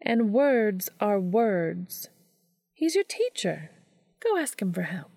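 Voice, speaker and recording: A woman voicing a warm but firm woman mentor in a storybook reading, coaxing and insistent. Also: mid-range voice, big pitch swings, slow pace, creaky, no room sound, clean studio sound, exaggerated voice.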